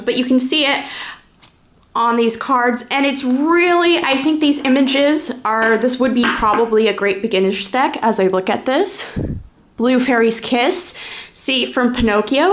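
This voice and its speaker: A woman's voice: a little high, animated, a little slow. A woman talking casually and informally as she gives a first-impressions review, thinking aloud as she goes. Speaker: reviewer presenting a card deck.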